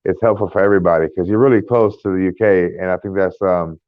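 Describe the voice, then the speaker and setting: An American man co-hosting a casual podcast, talking in a rambling, halting way with many fillers and restarts. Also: sits low, fairly even intonation, no room sound. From United States. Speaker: podcast co-host. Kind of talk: casual chat. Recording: tinny phone-like line.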